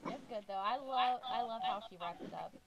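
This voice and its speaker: Casual woman with a creaky, gravelly voice. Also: a little high, a little fast, muffled recording. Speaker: book club member. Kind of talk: casual book discussion.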